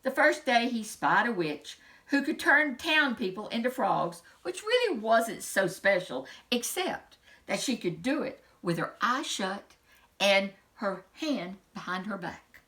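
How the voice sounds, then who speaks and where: A woman reading a children's story aloud in an expressive storytelling style, with dramatic emphasis and casual asides. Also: fairly high pitch, measured pace.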